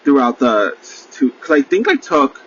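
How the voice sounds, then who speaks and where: A man's voice: medium pitch, big pitch swings, moderate pace, husky, highs cut off. A man, talking in a rambling, casual solo podcast monologue, full of fillers, swearing freely and poking fun at himself. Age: 20s. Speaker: podcast host.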